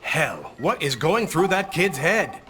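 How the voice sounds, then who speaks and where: An actor playing a brusque, commanding boss in a video game, with a clipped, authoritative way of talking. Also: male voice, on the high side, big pitch swings, slow. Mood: urgent, exasperated.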